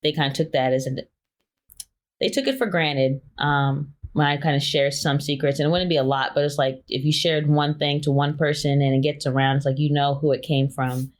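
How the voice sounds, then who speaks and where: A woman in her twenties, a guest talking candidly about her relationships in a hesitant, soft-spoken way with lots of filler words. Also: low voice, fairly even intonation, moderate pace. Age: 20s. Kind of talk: candid personal interview.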